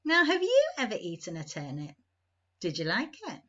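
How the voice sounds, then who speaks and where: A woman reading a storybook aloud to young children, in an emphatic, deliberate manner with expressive phrasing. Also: on the low side, muffled recording.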